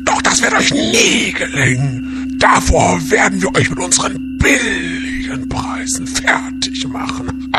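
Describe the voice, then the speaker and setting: A man's voice: high-pitched, huge pitch swings, slow, heavily acted. A man playing a gloating, taunting villain who lures victims in an amateur Christmas audio comedy. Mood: gloating, menacing. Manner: theatrical, taunting, cackling. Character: gloating villain, evil personified game sale luring victims. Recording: loud music behind the voice.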